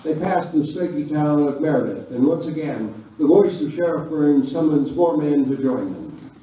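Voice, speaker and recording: Very dark-voiced man narrating a Western. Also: fairly high pitch, animated, moderate pace. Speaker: narrator of a radio play. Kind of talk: scripted storybook-style Western narration. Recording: very echoey, distant live hall recording, noisy.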